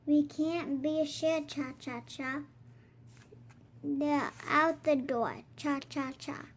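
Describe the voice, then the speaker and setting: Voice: sits high, steady tone, drawn-out words. A child reading a rhyming picture book aloud in a sing-song, rhythmic way, as host of a reading channel. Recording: clear background noise.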